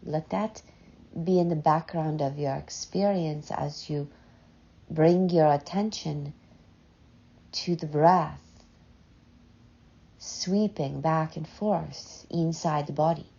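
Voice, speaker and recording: Very slow, low-voiced woman guiding meditation. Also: vocal fry, husky. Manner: gentle, unhurried, with long pauses between short phrases. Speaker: guided meditation leader. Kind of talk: slow, soothing guided meditation. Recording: dull, muffled lo-fi sound.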